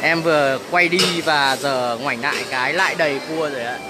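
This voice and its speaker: A man's voice: a little high, normal speed. Chatty man touring a noisy buffet. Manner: chatty, addresses viewers as family. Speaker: restaurant staff giving a tour. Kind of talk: casual promotional walkthrough. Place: busy indoor buffet restaurant. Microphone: handheld phone camera. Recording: phone video with loud background noise. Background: loud crowd and dining room noise.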